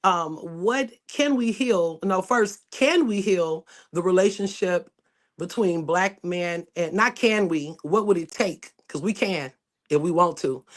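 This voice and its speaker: A woman hosting a casual live online panel over a video call, talking loosely and often restarting her sentences. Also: fairly low pitch. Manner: loose, rambling, with frequent restarts. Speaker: panel host.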